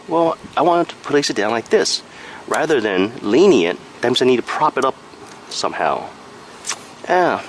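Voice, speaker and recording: A man with a fairly low voice talks through a gadget demonstration. He is matter-of-fact and explanatory, like a casual reviewer, with a noticeable steady hum behind him. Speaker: product reviewer. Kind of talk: casual hands-on product review narration.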